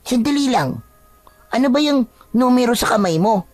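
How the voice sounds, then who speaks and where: A woman's voice: fairly high pitch, lively intonation, moderate pace, darkish tone, no echo, faint music behind. A woman voicing an earnest, secretive young boy in a dramatized story. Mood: earnest, guarded. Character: young boy, secretive, earnest friend who warns of curses.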